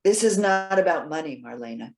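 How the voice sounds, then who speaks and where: A woman playing a lonely, proud elderly lady in a stage reading, halting and questioning with wounded politeness. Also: sits low, animated, a little mellow. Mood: politely cool. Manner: halting, stammering, emphatic questioning. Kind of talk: readers theater stage acting.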